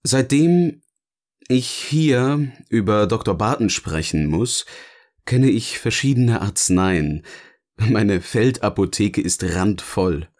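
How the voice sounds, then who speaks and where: Ein Mann spielt in einem Hörspiel einen eloquenten, sardonischen Erzähler, der bedächtig und theatralisch philosophiert. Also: male voice, on the low side, lively intonation, slow pace, a little mellow. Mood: sardonic. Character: eloquent, sardonic male narrator finally free to speak. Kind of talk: scripted audio drama dialogue, philosophical musing.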